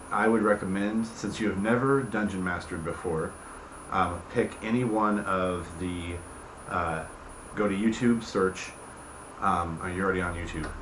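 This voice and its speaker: Deep voiced guy rambling casually to viewers, stops and starts, echoey room w noticeable noise behind him. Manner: halting, rambling, often breaking off mid-thought. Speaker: tabletop game campaign creator hosting a video channel. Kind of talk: casual unscripted explainer to viewers.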